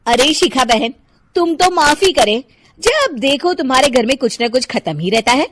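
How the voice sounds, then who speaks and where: A woman voicing an arrogant, sharp-tongued young housewife who talks rudely and scornfully in a cartoon story. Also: high-pitched, sing-song, normal speed, full character voice.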